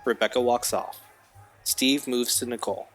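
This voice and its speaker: A man's voice: medium pitch, steady tone, moderate pace, a bit bright, faint music behind, low-quality recording. A man narrating scripted stage directions for an audio drama.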